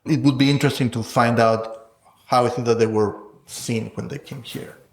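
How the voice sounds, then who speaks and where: A man's voice: mid-range voice, fairly even intonation, moderate pace, creaky. Middle-aged man in a very echoey room. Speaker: guest scholar interviewed about research. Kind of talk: reflective conversational interview. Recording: roomy, echoing sound.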